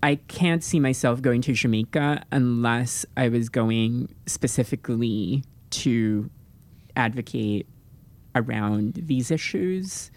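A gravelly, creaky voice at a middle pitch. The speaker is thoughtful and measured, and often pauses on "you know" while laying out a point. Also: darkish tone. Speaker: podcast guest. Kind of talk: casual podcast chat.

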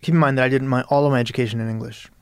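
A man's voice: mid-range voice, steady tone, rapid-fire, clean tone, no echo, clean studio sound. A man from Peru talking as a writer in an interview, thoughtful and searching, often restarting and adding "you know". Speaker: guest writer. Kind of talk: reflective interview conversation.

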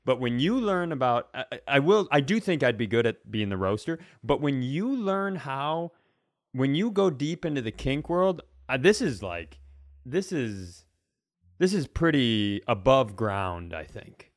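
A man's voice: mid-range voice, sing-song, measured pace, dry recording, clean studio sound. A man co-hosting a podcast with casual comedic banter, rambling and repeating himself for emphasis. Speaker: podcast co-host.

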